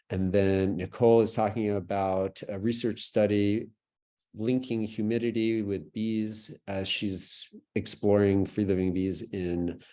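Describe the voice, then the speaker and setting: A man's voice: on the low side, dark tone. A man hosting an online event, introducing the speakers in an informal, loose way over a video call. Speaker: event host.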